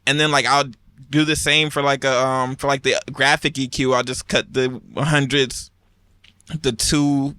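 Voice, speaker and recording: Bright young man, rambling and dry-miked. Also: medium pitch, flat delivery, unhurried, no echo. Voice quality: raspy when rapping. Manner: rambling, filler-heavy explaining. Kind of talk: casual chat.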